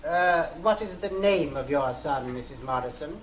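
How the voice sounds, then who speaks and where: An actor playing a greedy charlatan doctor in an old-time radio suspense drama, hesitant and insinuating. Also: male voice, a little high, animated, normal speed, natural voice, poor audio. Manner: hesitant, insinuating, mock-reluctant.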